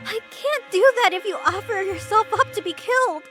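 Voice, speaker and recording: An actress playing a determined, devoted young nun on the run in a dramatic visual novel, earnest and resolute, with music underneath. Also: female voice, extremely high pitch, lively intonation, moderate pace, sharp tone, full character voice. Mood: distressed, defiant.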